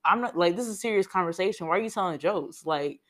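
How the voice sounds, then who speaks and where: A woman's voice: lowish voice, quick pace. A young woman speaks bluntly and conversationally in a casual group discussion. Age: young adult.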